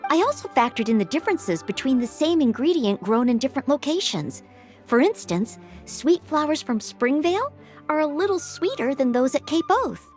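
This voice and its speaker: A woman's voice: sits high, sing-song, moderate pace, darkish tone, faint music behind. A woman voicing a young, dutiful maid knight in a video game, speaking in an earnest, courteous and precise way. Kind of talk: video game character acting.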